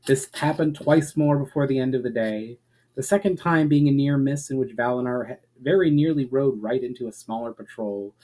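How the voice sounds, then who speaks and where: A man reading a fantasy story aloud as a narrator, in a measured, expressive storyteller's manner. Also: mid-range voice, rough recording. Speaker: author reading fiction aloud.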